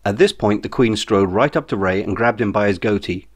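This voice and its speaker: A man's voice: fairly low pitch, brisk, breathy edge. A man reading a comic novel as scripted audiobook narration, in a wry storytelling manner. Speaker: author reading own novel.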